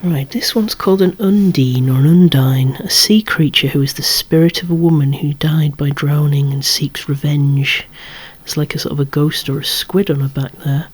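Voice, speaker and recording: A breathy, husky whisperer presents a collection in ASMR style. The delivery is hushed and unhurried, at a middling pitch. It wanders into musing, wry little asides. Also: fairly even intonation. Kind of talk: whispered ASMR show-and-tell.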